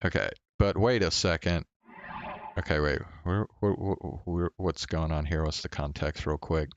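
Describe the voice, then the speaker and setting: A man's voice: sits low, fairly even intonation, normal speed, no room sound, lo-fi sound. A man giving casual game commentary as a streamer, muttering hesitantly to himself.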